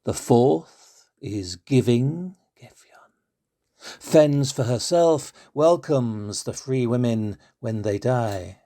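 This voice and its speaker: An Englishman recites verse like a storyteller. He is extremely slow and deliberate, leaving weighted pauses between phrases. His voice is mellow, with a gravelly creak. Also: medium pitch. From London, England. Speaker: poet and actor. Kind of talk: dramatic poetry recitation.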